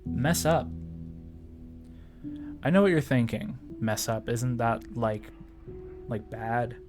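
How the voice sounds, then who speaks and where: A man's voice: mid-range voice, slow pace, over music. A man hosting a video, narrating scripted tips in a tutorial style. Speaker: video host giving tips.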